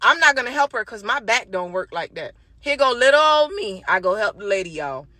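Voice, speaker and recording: A woman's voice: fairly high pitch, sing-song, a little fast, pushed and bright, no echo. A sassy, emphatic woman telling a personal story casually, with some swearing.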